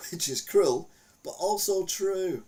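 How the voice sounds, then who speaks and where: A man's voice: high voice, expressive, slow pace, warm tone, distant mic. A British man hosting a podcast, reading out odd news items with a wry, rambling, joking manner and lots of fillers. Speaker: podcast host. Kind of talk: casual news commentary.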